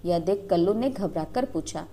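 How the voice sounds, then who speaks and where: A woman storyteller narrating a scripted story in a dramatic, expressive manner. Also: on the low side, moderate pace.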